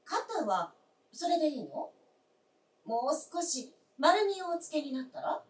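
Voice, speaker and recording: Very high-voiced, genteel young wife. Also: female voice, very animated, measured pace. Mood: solicitous. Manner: very polite, genteel, solicitous. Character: polite, attentive young wife caring for her father-in-law. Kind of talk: staged radio drama acting. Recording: echoey stage, distant microphone.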